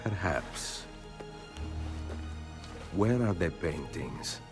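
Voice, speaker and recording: A brooding Italian assassin in a game drama. His voice is very deep and accented, delivered slowly and gravely over clear music. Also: expressive, exaggerated voice. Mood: guarded, firm. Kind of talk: dramatic video game acting. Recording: music behind the voice.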